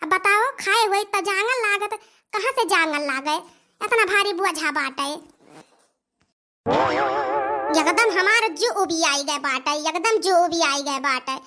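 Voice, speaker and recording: A woman's voice: very high voice, unhurried, bright, forceful tone, soft background music, heavily acted. A young woman with an Awadhi accent playing an overworked, complaining village daughter-in-law in a comic cartoon, repeating her phrases for emphasis. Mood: grumbling.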